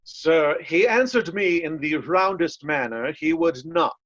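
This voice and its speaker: A man's voice: fairly high pitch, expressive, measured pace, a bit bright, no echo. A man playing a loyal, blunt nobleman disguised as a servant in readers' theatre Shakespeare, earnest and deferential, on a lo-fi recording. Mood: deferential, candid. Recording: dull, lo-fi, dry sound.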